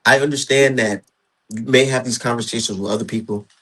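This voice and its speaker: A man in his thirties hosting a casual boxing talk show, ranting in an animated, repetitive way. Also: mid-range voice, fairly quick, poor audio. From Minnesota. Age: 30s. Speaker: podcast host.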